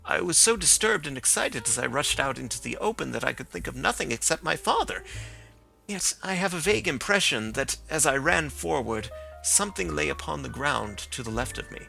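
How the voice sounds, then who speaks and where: A man's voice: fairly high pitch, expressive, a little fast, gravelly, pushed and bright, soft background music, heavily acted, low-quality recording. A man reading the part of a troubled young male witness at an inquest, hesitant and guarded.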